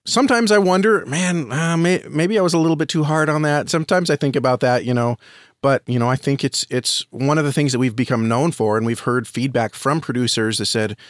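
A man's voice: medium pitch, a little fast, no echo, studio quality. An American man giving earnest, enthusiastic conversational answers as a guest in an interview. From United States. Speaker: podcast guest, audio drama reviewer and podcaster.

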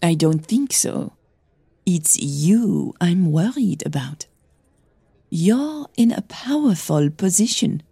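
A woman's voice: on the low side, big pitch swings, takes it slowly, vocal fry, warm tone, slightly rough, no echo, full character voice. A woman voicing a composed, wealthy older mother with dry amusement and frankness in an audio drama. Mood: serious, frank.

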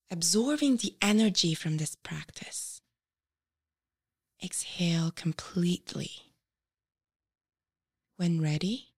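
A woman with a fairly low voice narrates a guided meditation. She speaks very slowly, in short measured instructions, leaving frequent pauses between phrases. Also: darkish tone.

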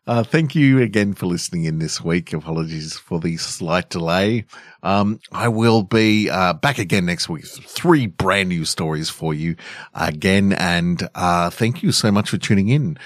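A middle-aged man hosting a podcast and reading stories in a measured way. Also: sits low, no room sound, clean studio sound. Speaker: podcast host and story reader. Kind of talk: scripted story narration and casual podcast outro.

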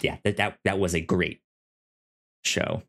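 A man co-hosting a podcast, chatting casually about films in a halting, repetitive, enthusiastic fan's way. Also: deep voice, steady tone, fairly bright tone. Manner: halting, repetitive, enthusiastic self-described nerd. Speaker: podcast co-host. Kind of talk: casual film discussion chat.

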